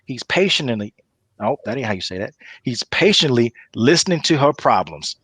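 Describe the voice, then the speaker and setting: A man's voice: medium pitch, big pitch swings, moderate pace, a bit bright, slightly rough, no echo. A man narrating stage directions for a comedy table read in a casual storytelling manner.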